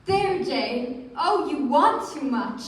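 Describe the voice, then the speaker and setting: A fragile, anguished society wife played by a woman in a radio play, high-voiced and slow to speak. She sounds distant on a very echoey stage. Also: big pitch swings, exaggerated voice. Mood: pleading. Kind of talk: live radio play acting.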